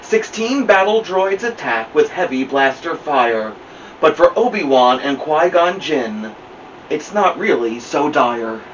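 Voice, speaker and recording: A middle-aged man reads a children's story aloud with dramatic emphasis. His fairly high voice swings widely in pitch. The sound is a little muffled, as if from a camera across a room. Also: lively intonation, takes it slowly. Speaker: storytime host. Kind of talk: expressive children's picture-book reading. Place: indoor room at home, seated by a plant. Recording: muffled, noisy, distant camera mic.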